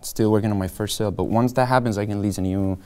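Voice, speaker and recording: A man's voice: on the low side, fairly even intonation, quick pace, warm tone, smooth, lightly acted. A man reading a naive, eager junior realtor. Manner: hesitant, stammering, eager to please. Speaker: actor in a script table read. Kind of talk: script table reading.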